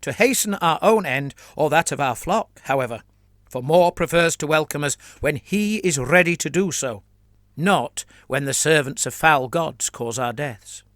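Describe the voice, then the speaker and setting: A man voicing a calm, evasive priest who rambles in measured, placating speech. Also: fairly high pitch, no echo, studio quality. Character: evasive scheming male priest, calm and wordy.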